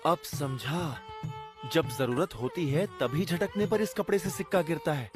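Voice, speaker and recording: A man voicing a kind, generous farmer in an animated moral story, who speaks in a caring and expressive way. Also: on the high side, unhurried, music in the background, natural voice. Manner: warm-hearted, caring, expressive. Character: kind, generous farmer hero, middle-aged. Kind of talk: cartoon voice acting.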